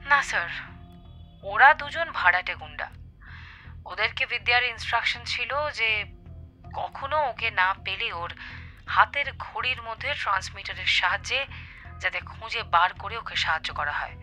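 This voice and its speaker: A woman playing a brisk, capable secret agent in an audio drama, businesslike and assertive as she reports to her superior. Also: mid-range voice, bright, forceful tone. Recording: background music under the voice.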